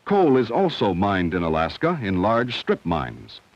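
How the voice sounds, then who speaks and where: A low-voiced man narrates a vintage school documentary with lively rises and falls in his pitch. He speaks in a measured, instructional way with careful enunciation. The sound is the dull, limited tone of an old film soundtrack. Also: no room sound. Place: studio voice-over.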